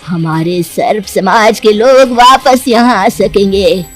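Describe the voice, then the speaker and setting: Woman voicing a slow, commanding old serpent queen. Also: medium pitch, soft background music. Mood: scheming, commanding. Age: older. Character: vengeful ageing serpent queen mother. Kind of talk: dramatised moral story.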